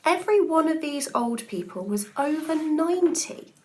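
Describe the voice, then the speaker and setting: Female storybook reader, schoolteacher type, high register, animated and expressive delivery. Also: moderate pace. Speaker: schoolteacher reading to pupils. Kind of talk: expressive children's storybook reading.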